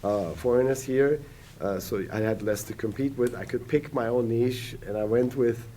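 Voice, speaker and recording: Podcast guest, German-native man, 40s, mellow, halting and rambling. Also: mid-range voice, a little hiss or hum. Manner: rambling, many hesitant restarts and fillers. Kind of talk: casual podcast conversation.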